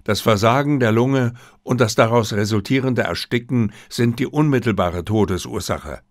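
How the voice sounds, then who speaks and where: A man's voice: medium pitch, moderate pace, no room sound, clean studio sound, close to an everyday voice. A man voicing a composed Japanese intelligence officer in an audiobook, formal, grave and matter-of-fact. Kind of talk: audiobook character reading.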